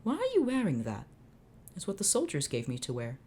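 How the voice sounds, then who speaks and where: A woman voicing a blunt, aloof teenage boy who answers in flat, curt replies. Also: on the low side, brisk, husky, pitched above the performer's own voice, heavily acted.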